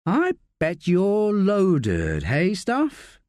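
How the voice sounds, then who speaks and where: Man voicing a teasing, probing woman, lifted lively pitch. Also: a little slow, no room sound.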